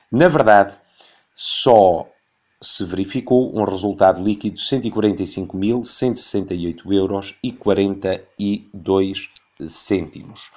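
A man in his thirties with a European Portuguese accent, reading a critical radio commentary and reciting long figures in a measured, careful way. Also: on the low side, a little mellow. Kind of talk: scripted opinion commentary. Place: radio studio.

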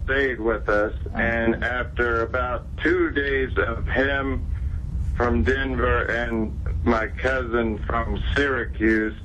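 A man playing an earnest, rambling prank caller who haltingly lists family details in a deadpan way. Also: mid-range voice, dull audio. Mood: slow, solemn. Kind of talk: prank phone call. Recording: noisy call heard on a TV broadcast.

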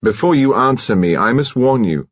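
A man voicing a formal, measured police inspector giving a warning. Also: medium pitch, expressive, husky, no room sound, muffled recording, voice pushed up.

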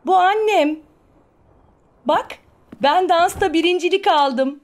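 Excitable little cartoon boy, played by a woman, very high, eager and childlike. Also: slow pace, a little hiss or hum. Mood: proud.

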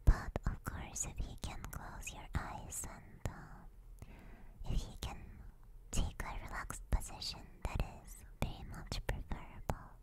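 A woman doing ASMR guided relaxation in a soft, breathy, gentle and reassuring whisper, close to a binaural microphone. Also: slow pace. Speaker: ASMR streamer.

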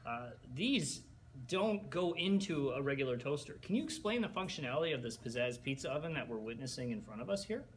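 A man's voice: medium pitch, sing-song, fairly quick, slight background noise. A young man in a room, speaking into a headset mic in a playfully agreeable, mock-formal manner. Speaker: co-host. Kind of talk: mock-serious cooking commentary.

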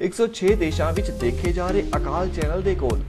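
Promo announcer, very fast, lively pitch, loud music under the voice-over. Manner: brisk, animated sales delivery.

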